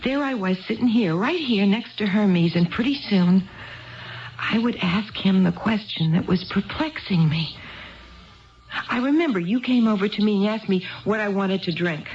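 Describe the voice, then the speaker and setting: A woman's voice: medium pitch, expressive, moderate pace, lightly acted. Casting: actress for earnest, rambling married woman a few drinks in, mid-range voice, 1970s radio drama, muffled tape. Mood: eager, confiding. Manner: wistful, digressive, repeats herself. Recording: dull lo-fi 1970s radio broadcast.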